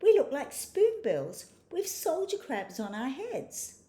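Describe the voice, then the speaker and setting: A woman's voice: high-pitched, big pitch swings, slow pace, mellow, pitched above the performer's own voice, exaggerated voice. A woman voicing a blunt, doubting young spoonbill who contradicts emphatically in a children's storybook. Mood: blunt, dismissive.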